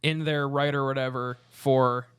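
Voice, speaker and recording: Smooth, clear-voiced man chatting. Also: mid-range voice, flat delivery, unhurried, fairly bright tone. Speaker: podcast panelist. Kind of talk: casual group chat.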